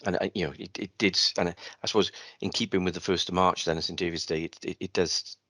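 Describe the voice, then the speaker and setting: A man's voice: low voice, steady tone, quick pace, fairly bright tone, dry recording, clean studio sound. A man chairing an online meeting over a video call, talking at length with many restarts and fillers.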